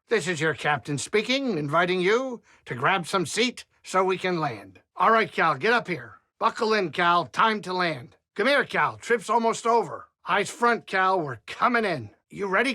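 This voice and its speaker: Grumbling alien pilot, video game character, high pitch, bright forceful tone, folksy wisecracker, good-hearted, exasperated banter. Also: male voice, no room sound, clean studio sound, exaggerated voice.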